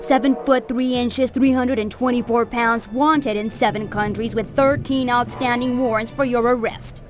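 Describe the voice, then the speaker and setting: A woman's voice: fairly high pitch, fairly even intonation, moderate pace, faint music behind, natural voice. An actress playing a dismissive, hot-tempered female police inspector in a cartoon video game, with dry authority. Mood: stern, commanding.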